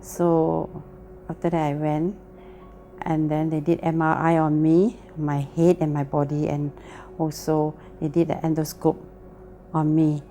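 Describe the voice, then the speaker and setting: A woman's voice: low-pitched, flat delivery, a little slow, warm tone, a little hiss or hum. A woman with a Malaysian English accent giving a plain, earnest personal testimony. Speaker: testimony speaker.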